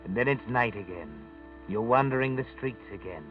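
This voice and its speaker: A sinister male storyteller played by an actor in a vintage radio thriller. He addresses a guilty man with knowing, ominous mockery. His middle-pitched voice comes through a narrow, muffled broadcast over clear music. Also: moderate pace, natural voice. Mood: ominous, mocking. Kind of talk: 1940s radio drama narration.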